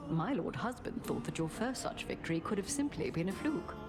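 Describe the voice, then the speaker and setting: A woman's voice: mid-range voice, expressive, fairly quick, music in the background, lightly acted. A woman playing a mature, regal queen of the gods in a game, speaking in a stately, formal and gracious way. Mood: gracious, assured. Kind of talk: scripted game acting.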